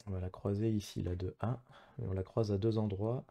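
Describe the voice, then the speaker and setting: A man's voice: on the low side, fairly even intonation, fairly quick, vocal fry, dark tone. A man commentating casually on his own online geography game, thinking aloud in hesitant, piecemeal phrases as he plays. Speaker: gamer playing an online geography guessing match.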